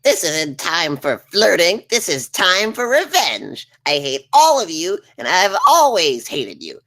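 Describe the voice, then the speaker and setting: A woman acts out a vengeful bug villain in a comedy script read, low and forceful. The delivery is theatrical, sneering and commanding, swinging very widely in pitch. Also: sing-song, unhurried, exaggerated voice. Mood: contemptuous rage.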